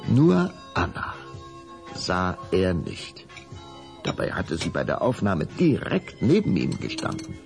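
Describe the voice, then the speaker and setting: A man's voice: sits low, wildly swooping pitch, slow, vocal fry, over music. A man narrating a children's audio drama in a dramatic, suspenseful storytelling manner.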